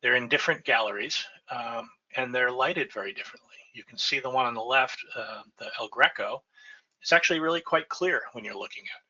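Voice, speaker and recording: A man's voice: mid-range voice, brisk, pushed and bright, dull audio. A middle-aged man presenting a slide lecture from his home office, talking conversationally and checking in with "right?" as he goes. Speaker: lighting designer presenting a webinar. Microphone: webcam and computer mic on a video call.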